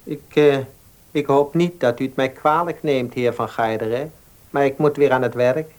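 An actor playing a polite, cautious baker and protective older brother in a radio play, with a formal, deferential and hesitant manner. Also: male voice, mid-range voice, moderate pace, a little mellow, natural voice. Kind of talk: Dutch radio play acting.

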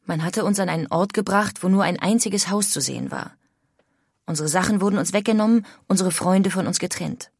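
An actress voicing a female emigrant who soberly recounts her memories in a measured, reflective reading for a radio documentary. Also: on the low side, slightly rough, dry recording, clean studio sound. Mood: grave, troubled. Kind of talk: dramatised reading of historical memoir for radio.

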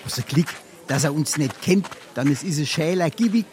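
An actor playing a wily, penniless sponger in a Darmstadt dialect stage comedy, wheedling with theatrical mock courtesy. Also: male voice, on the high side, moderate pace, noisy background, close to an everyday voice. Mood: sly, chatty. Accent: Hessian, Darmstadt dialect. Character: wily, penniless sponger, a glib charming idler.

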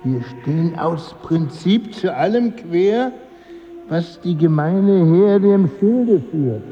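An actor playing an eccentric, pedantic political dissenter who hangs from a tree, in a literary radio drama. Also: male voice, on the high side, lively intonation, slow, muffled recording, soft background music. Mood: pedantic, plaintive. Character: hanged eccentric deviationist, pedantic about his principles.

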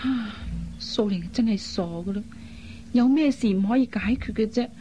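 女演員扮演聲線柔和、憂心忡忡嘅粵語女子. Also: female voice, a little high, normal speed, mellow, faint music behind, lightly acted. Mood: concerned. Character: worried, caring young woman fretting over family. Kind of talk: Cantonese radio drama acting. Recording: dull lo-fi recording, faint noise.

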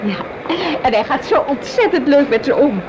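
An actress playing a frank, lively wife in a naturalistic Dutch radio drama. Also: female voice, sits high, normal speed, background noise. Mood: amused, chatty. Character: Hanneke, frank, lively wife nudging her tired husband.

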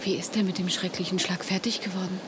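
Low-voiced, composed young woman, played by an actress. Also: fairly even intonation, fast talker, background noise, lightly acted. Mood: composed, concerned. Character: clever, polite young married Englishwoman. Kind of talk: German radio drama acting.

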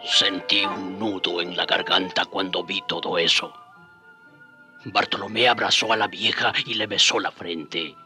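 Native island guy telling his story in a radio drama, very bright intense voice, lowish, earnest. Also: steady tone, measured pace, soft background music, exaggerated voice. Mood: moved, reflective. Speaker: narrator. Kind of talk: dramatised first-person narration.

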